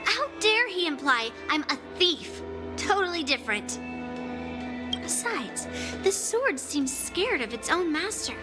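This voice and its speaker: Young female sword prodigy, game role: high, confident, blunt and cocky, over clear music. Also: sing-song, unhurried, heavily acted. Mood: indignant, smug. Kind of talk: video game voice acting.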